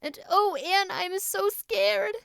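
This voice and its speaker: Nervous little girl for a storybook, very high and imploring, clean dry recording performed by an adult woman. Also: slow, creaky, fairly bright tone, no echo, full character voice. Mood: frightened pleading. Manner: anxious, imploring, breathless delivery. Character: frightened, pleading young girl, a nervous best friend.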